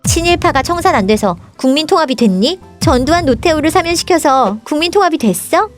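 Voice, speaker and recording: A woman's voice: high voice, lively intonation, fairly quick, faint music behind. A woman playing an indignant young storybook princess in a political satire, scolding and explaining with pointed rhetorical questions. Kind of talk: scripted political satire dialogue, storybook parody.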